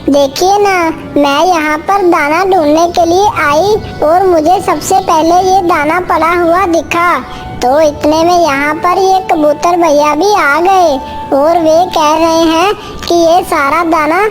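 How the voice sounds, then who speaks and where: A woman's voice: very high-pitched, steady tone, slow pace. A woman voicing a small female bird, earnest and easily indignant, in a children's cartoon story, with music behind. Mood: earnest recounting. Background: faint music.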